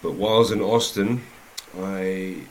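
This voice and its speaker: Deep-voiced New Zealand man telling stories. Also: a little hiss or hum. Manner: soft, unhurried storytelling with frequent "um" pauses. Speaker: rugby head coach, guest. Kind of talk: casual interview, telling his life story.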